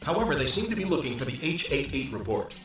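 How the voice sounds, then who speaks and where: An actor playing a dutiful, efficient officer giving a formal, deferential report in an anime game dub. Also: male voice, mid-range voice, sing-song, fast, a bit bright. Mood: businesslike. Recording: very echoey, music behind.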